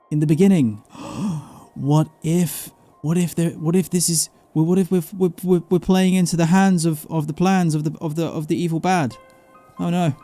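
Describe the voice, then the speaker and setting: A man doing excited live game commentary as a streamer, exclamatory, repeating himself and swearing casually. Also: fairly high pitch. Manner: exclamatory, repeats words and phrases.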